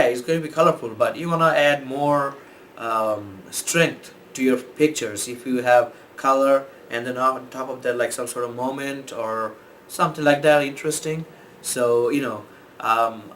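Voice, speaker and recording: A man from Bangladesh, a photographer, giving casual tips in a relaxed, rambling way with many fillers and restarts. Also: mid-range voice, slight background noise. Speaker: photographer and host. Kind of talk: casual explainer talk.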